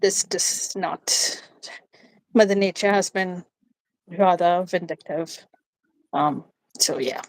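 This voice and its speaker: A woman's voice: fairly low pitch, takes it slowly, pauses often, no room sound, low-quality recording. Female livestream co-host, Trinidadian accent, chatty and playful, recorded dry at home. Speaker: co-host of a live watchalong. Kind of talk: casual live chat. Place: indoors in a room at home.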